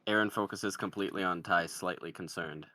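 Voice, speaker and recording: A man's voice: low voice, a little fast, sharp tone, dry recording. A man narrating the stage directions of a comedy screenplay in a scripted read.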